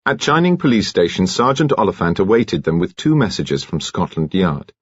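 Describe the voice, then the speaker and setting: A man's voice: low-pitched, big pitch swings, moderate pace, husky, dry recording, muffled recording. A man narrating a scripted story as an audiobook reader.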